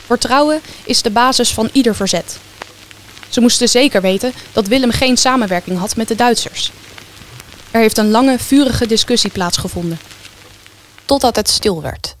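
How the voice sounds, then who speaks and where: A woman's voice: a little high, normal speed, slight background noise. A woman reading scripted narration for a radio play.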